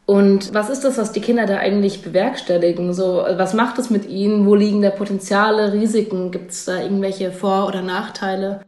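A woman's voice: medium pitch, fairly even intonation, fairly quick, hardly any pauses, mellow. A woman co-hosting a podcast, talking reflectively and posing questions, with casual fillers. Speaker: podcast co-host. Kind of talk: scripted podcast narration. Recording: roomy sound with faint music.

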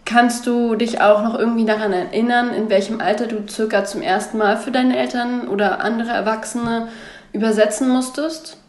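A woman co-hosting a podcast, presenting in a clear, measured way and putting questions to guests. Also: fairly high pitch, steady tone. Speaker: podcast co-host. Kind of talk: scripted podcast narration and interviewing. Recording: roomy sound with music underneath.

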